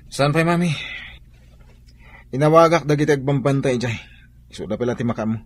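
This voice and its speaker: A man's voice: medium pitch, fairly quick, breathy edge, no echo, natural voice. A man playing a troubled, weary grown son with an Ilocano Filipino accent in a radio drama, sighing and downcast.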